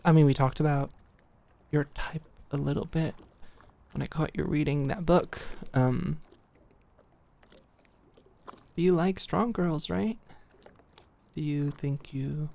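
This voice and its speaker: A young woman playing a smitten, protective girl at camp in an intimate ASMR roleplay, speaking earnestly and haltingly. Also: low-pitched, creaky, dark tone. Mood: shy, hopeful. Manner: halting, earnest, giggly, stammering. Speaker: audio roleplay performer.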